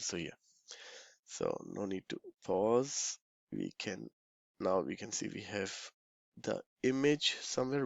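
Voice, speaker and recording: A man with a lowish voice full of heavy fry walks through software in an informal, instructional way. His delivery is very slow and halting, as he thinks aloud and mutters to himself while he works. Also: dry recording.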